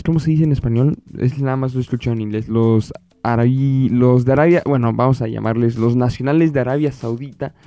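Mellow, dark-voiced man hosting a podcast. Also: medium pitch, no room sound. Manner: rhetorical questions, addresses listeners directly. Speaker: podcast host. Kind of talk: conversational news commentary podcast. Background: background music.

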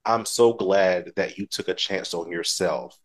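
A man's voice: low voice, unhurried. A man hosting a motivational talk show, speaking conversationally in an encouraging, affirming manner.

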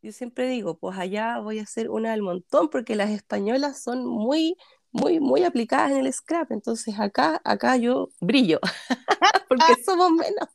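Bubbly gravelly-voiced Chilean woman. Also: on the high side, animated, a little slow, no echo, studio quality. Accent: Chilean Spanish. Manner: bubbly, enthusiastic, laughs often. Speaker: guest. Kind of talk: casual chat.

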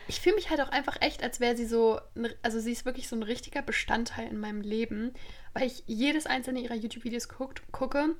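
A woman's voice: on the high side, fast talker. Gushing, giggly woman podcast co-host. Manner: gushing, laughs often, many fillers. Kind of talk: casual chat.

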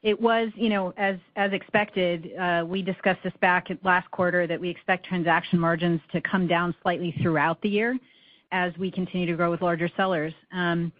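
A woman speaking as a finance executive on an earnings call, giving measured, businesslike explanations with short hesitations. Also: lowish voice, fairly even intonation, dry recording. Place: conference phone call. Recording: slightly dull, dry call audio.

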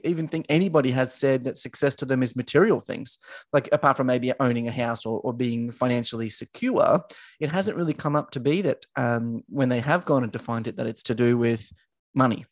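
A man's voice: medium pitch, no room sound. An enthusiastic male podcast host. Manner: enthusiastic, halting with many fillers. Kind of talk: conversational interview.

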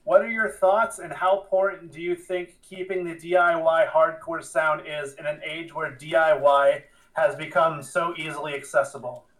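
A man's voice: on the high side, fairly even intonation, normal speed, few pauses, a bit bright, far from the mic, low-quality recording. A man hosting a podcast in an informal, casual chatting style. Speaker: podcast host, rapper.

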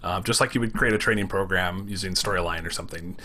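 Fast-talking Michigan man, bright tone. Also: sits low, a little hiss or hum. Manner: fast-talking, runs words together. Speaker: podcast creator. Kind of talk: casual panel chat.

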